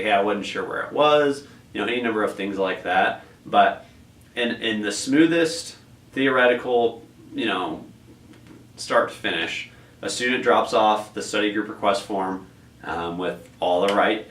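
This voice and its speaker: A man's voice: fairly low pitch, a bit bright. A man coordinating a tutoring program, explaining the process in a rambling way with many fillers and restarts. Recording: echoey room, distant mic, faint noise.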